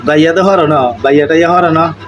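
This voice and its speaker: A man's voice: a little high, steady tone, fast talker, muffled recording. A man with an eastern Bengali dialect, chatting casually and teasingly. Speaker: vlogger chatting with a friend. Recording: noisy outdoor recording with traffic ambience.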